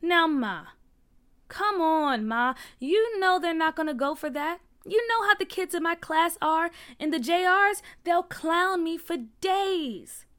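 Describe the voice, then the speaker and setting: A woman's voice: fairly high pitch, lively intonation, measured pace, sharp tone, full character voice. A woman voicing an upset, whiny young schoolboy in a storybook, with a pleading, emphatic, slangy way of talking.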